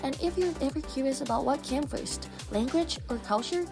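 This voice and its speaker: A bubbly woman hosting a podcast, her voice sitting a bit high. She is a native Thai speaker and reacts to her guests with quick little exclamations. Music plays clearly under her voice. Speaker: podcast host.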